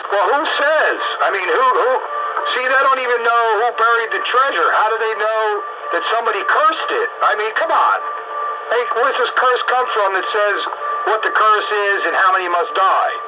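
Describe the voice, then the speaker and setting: A man with a fairly high voice talks in a casual, folksy, opinionated style, leaning on emphatic rhetorical questions and mildly salty slang. The audio is muffled lo-fi radio with clear noise behind him. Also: lively intonation, moderate pace.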